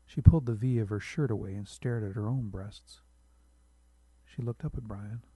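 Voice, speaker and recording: A man narrates literary fiction in a lowish, very dark and relaxed voice. It is a little husky, recorded close and dry. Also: normal speed, no room sound, poor audio. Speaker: author reading his short story.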